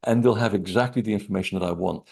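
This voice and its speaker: Husky low-voiced man telling rambling stories. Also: fast talker. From Portugal. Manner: animated, digressive storyteller. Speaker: guest author. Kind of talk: rambling storytelling interview. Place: small apartment living room.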